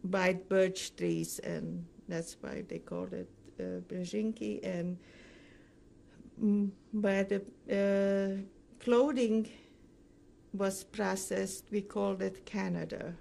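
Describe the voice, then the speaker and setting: An older woman recounting her memories as a survivor in an oral history interview, speaking haltingly with frequent pauses mid-sentence. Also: medium pitch, steady tone, creaky, dark tone, a little hiss or hum. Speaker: Holocaust survivor giving testimony.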